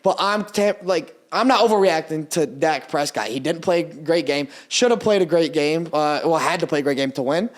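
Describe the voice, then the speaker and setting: A young man whose first language is Portuguese, co-hosting a casual sports podcast, animated and swearing freely as he breaks down his team. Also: on the high side, fairly quick, hardly any pauses. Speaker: podcast co-host.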